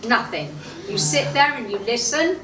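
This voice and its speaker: A woman's voice: on the high side, very animated, normal speed, crowd noise. A woman, an art academic, answering an audience question in a chatty way with many ums. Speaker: guest speaker, art academic. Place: gallery or club room with audience. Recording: echoey room, distant mic, noisy background.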